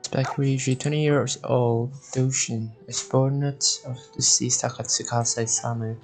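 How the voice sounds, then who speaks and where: A young man live-streaming a game, casually commenting and reading aloud in a halting, stumbling way. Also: medium pitch, flat delivery, rough recording. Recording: game music behind the voice.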